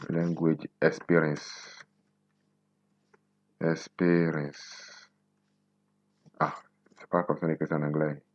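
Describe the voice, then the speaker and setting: A man in his twenties from Benin, whose first language is Nago, hosting a coding tutorial and thinking aloud slowly as he types, repeating words to himself. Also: extremely low pitch, flat delivery, dry recording, highs cut off. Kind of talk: casual screen-recorded coding tutorial.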